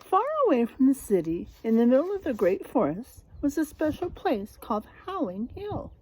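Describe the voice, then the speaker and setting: A woman reading a picture book aloud to children, teacherly and expressive, stopping to prompt them with questions and leaning on story words for drama. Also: high-pitched, moderate pace, slightly rough, rough recording.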